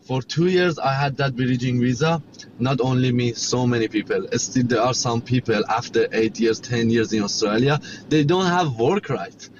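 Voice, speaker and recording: A middle-aged man from Iran, a native Persian speaker with a Persian accent, telling his story as an interview guest in halting, repetitive English. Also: mid-range voice, muffled recording, noisy background. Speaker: guest, chef and cafe owner.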